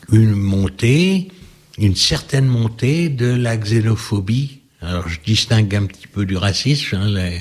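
A man speaking as a guest in a panel discussion, measured and hesitant with many pauses. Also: lowish voice, slightly rough. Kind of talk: reflective debate talk.

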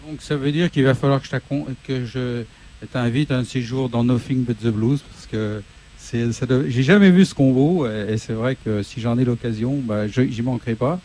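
A man's voice: medium pitch, normal speed, mellow. A man hosting a live studio interview after a concert set, with many fillers and restarts. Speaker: local TV show host.

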